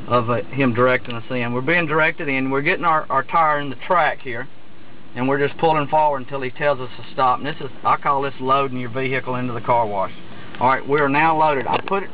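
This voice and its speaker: A man giving a rambling, halting walkthrough of his car wash from inside a car, with lots of restarts and fillers. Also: medium pitch, a little hiss or hum. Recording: muffled, with loud car wash machinery behind.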